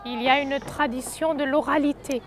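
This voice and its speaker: Gesucht: hohe Frauenstimme, ruhig erklärend. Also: female voice, high voice, fairly even intonation, a little fast. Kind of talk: interview.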